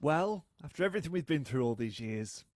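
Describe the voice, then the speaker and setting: An actor in an audio drama playing a taunting, cold, vengeful British brother who speaks in a mocking, unhurried way. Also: male voice, on the high side, expressive, a little mellow, dry recording, lightly acted. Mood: gloating, menacing.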